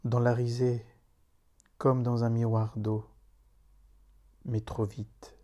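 A man reciting a solemn poem in a grave, meditative manner, leaving long pauses between short phrases. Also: medium pitch, level tone, warm tone. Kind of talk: solemn spoken poetry recitation.

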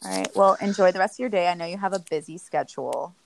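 A woman hosting a casual dating podcast, chatty and quick to laugh, using lots of fillers. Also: on the low side, gravelly, background noise. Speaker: podcast host.

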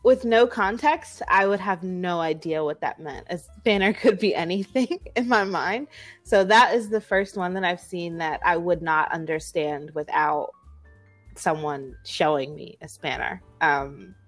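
A woman's voice: on the low side, a little slow, soft background music. A woman with an American accent, an English tutor explaining word choices in casual conversation.

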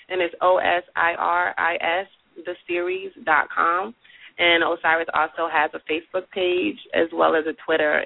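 A woman's voice: deep voice, creaky, husky, no echo. A woman guesting on a radio interview show. She has a cold and sounds a little nasal, and she talks in a friendly, upbeat way with many ums. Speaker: guest, casting director. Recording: tinny phone line, dry.

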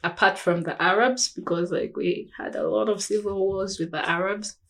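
A woman's voice: mid-range voice, steady tone, moderate pace. A young woman chatting casually as a podcast guest. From South Sudan. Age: young adult. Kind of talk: casual chat.

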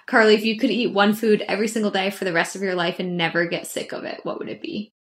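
A woman's voice: mid-range voice, steady tone, fast, a bit bright. Upbeat woman podcast host. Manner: friendly and upbeat, laughs often. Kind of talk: casual interview chat.

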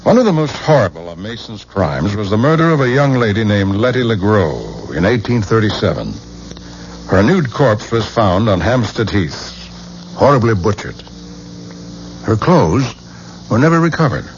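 Coaxing crime writer, lowish voice, swoopy delivery, old radio show. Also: male voice, very animated. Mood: assured, grave. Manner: deliberate, coaxing. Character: eager, persuasive author of books on murderers. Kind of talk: 1970s radio drama acting. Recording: dull, faintly noisy old radio recording.

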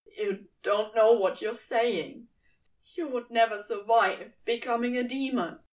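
Woman as a blunt, wry demon, lower and unhurried. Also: distant mic, lightly acted, poor audio. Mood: defensive. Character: male demon, defensive, apologetic, wryly teasing.

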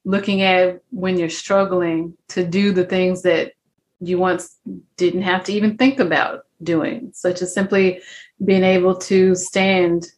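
A woman's voice: fairly low pitch, little pitch movement, slow, darkish tone, a little hiss or hum. A woman hosting an interview, speaking reflectively and smiling as she talks. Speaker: host. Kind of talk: conversational interview.

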